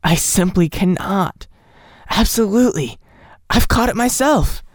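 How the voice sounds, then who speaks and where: A woman's voice: medium pitch, animated, a little slow, dry recording, studio quality, voice pushed up. A woman reading aloud voices a tired, feverish country doctor who mutters refusals. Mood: weary reluctance. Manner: muttering, grumbling, weary.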